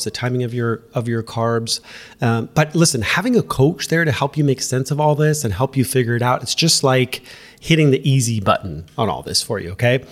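Guy with a head cold hosting his podcast, nasal and stuffy, mid pitch, chatty and direct. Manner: talks straight to the listener, often checking in with "okay?". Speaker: podcast host and running coach. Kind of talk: casual solo podcast talk.

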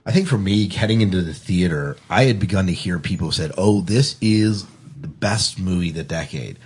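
A man's voice: low-pitched, lively intonation, moderate pace, a little mellow, slightly rough. A man hosting a casual film discussion, putting questions and giving his own opinions. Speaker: podcast host.

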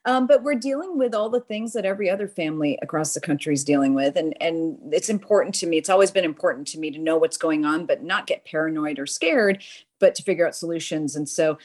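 A woman in her forties, originally from Boston, speaks as a podcast guest with a fairly low voice. She talks earnestly and eagerly, rolling along in long sentences and often restarting mid-thought. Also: quick pace. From Boston, USA. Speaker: guest, nonprofit crime-prevention leader. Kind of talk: conversational podcast interview.

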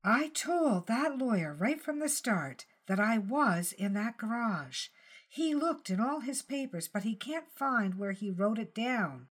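A woman's voice: a little high, animated, a little slow, breathy edge. A woman voicing an anxious, wistful elderly wife in an audiobook story, speaking hesitantly and earnestly. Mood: anxious, insistent. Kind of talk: audiobook character dialogue.

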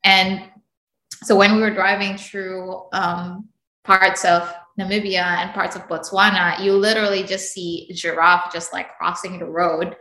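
Bright-voiced woman in an echoey room. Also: on the low side, unhurried. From Indonesia. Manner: animated storytelling, frequent laughs. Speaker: podcast guest. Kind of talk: casual interview chat.

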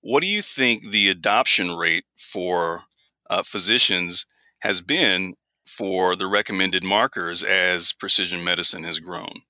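A male podcast host asks his guest questions in a measured way, with a few fillers. His voice sits low but sounds very bright and forceful. It is recorded dry, with no room sound. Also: dry recording. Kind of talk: podcast interview.

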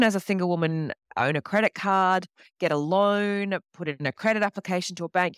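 A woman's voice: fairly low pitch, a bit bright, dry recording, studio quality. A woman novelist answering interview questions in a reflective, explanatory, conversational way.

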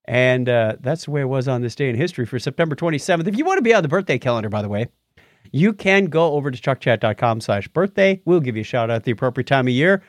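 A man's voice: mid-range voice, lively intonation, fast talker, no echo, studio quality. A man co-hosting a casual show, bantering in a jokey, chatty way through news items and announcements. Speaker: podcast co-host.

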